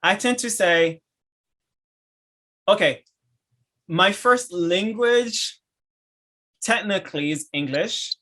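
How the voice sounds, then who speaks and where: A man with a Haitian accent hosting a casual podcast chat, enthusiastic and laughing often, with halting restarts. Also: sits high, sharp tone. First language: French and Haitian Creole. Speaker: podcast host.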